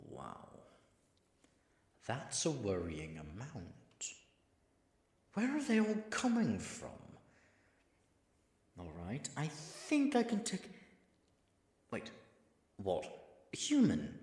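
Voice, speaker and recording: A man playing a proud, flustered dragon in human form, secretly smitten with a knight, in a theatrical audio drama full of halting, muttered asides. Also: lowish voice, gravelly, reverberant, exaggerated voice. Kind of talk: dramatic audio roleplay monologue.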